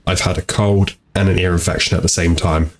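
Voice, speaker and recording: A man's voice: deep voice, level tone, fairly quick, a little hiss or hum. A tired man with a blocked-up nose from a cold, chatting in an easygoing, rambling way as he hosts a gaming channel into a home microphone. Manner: easygoing and rambling, repeats "go ahead". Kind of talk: casual commentary over gameplay. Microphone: home desk microphone.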